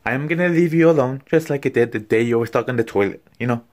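A man's voice: mid-range voice, quick pace, darkish tone, natural voice. A man in a small bedroom, filmed on a phone at arm's length, playing a smug, snide woman rival who acts falsely friendly. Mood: petty. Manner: snide and gossipy. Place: small cluttered bedroom, indoors. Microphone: phone camera at arm's length.

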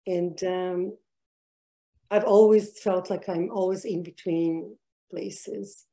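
Hesitant woman with a mellow, dark voice. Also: lowish voice, steady tone, slow. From Israel. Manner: hesitant, many fillers and restarts. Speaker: artist guest. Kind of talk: reflective interview answers.

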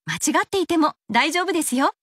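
A woman's voice: sits high, lively intonation, fairly quick, fairly bright tone, no room sound, studio quality. A woman reading a scripted radio commercial. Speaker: advertisement announcer.

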